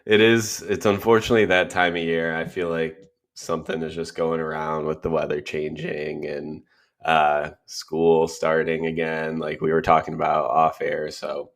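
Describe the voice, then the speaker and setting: An American man co-hosting a casual sports podcast, sounding a little congested, relaxed and laughing easily. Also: extremely low pitch, moderate pace. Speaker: podcast co-host.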